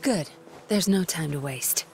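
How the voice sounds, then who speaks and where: An actress voicing a composed, secretive young woman in a video game, measured and assured. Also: deep voice, animated, a little mellow. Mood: calm, assured. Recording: faint game music behind.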